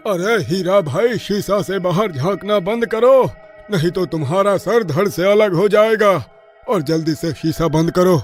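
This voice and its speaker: Guy voicing jumpy bossy village driver, high voice, urgent scolding, faint music under it. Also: a little slow. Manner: urgent warnings, scolding, anxious. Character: nervous, bossy village bus driver, superstitious and wary.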